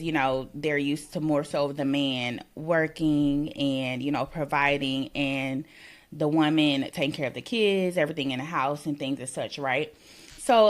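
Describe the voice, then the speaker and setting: A woman with a notably low-pitched voice talks in a relaxed, conversational way. She reflects out loud, filling pauses with "you know" and "um" as she shares her experiences as a working mother. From Mississippi. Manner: reflective, thinks aloud with many "you know"s. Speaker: podcast guest, working mother. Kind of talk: casual conversation.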